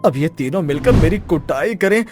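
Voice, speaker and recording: A sneaky young husband with three secret wives in a cartoon story, as a performer voices him, talking his way out of trouble. His voice sits at a middling pitch but swings up and down a lot. Soft music plays behind. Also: lively intonation, normal speed, lightly acted. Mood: worried. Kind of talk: cartoon voice acting.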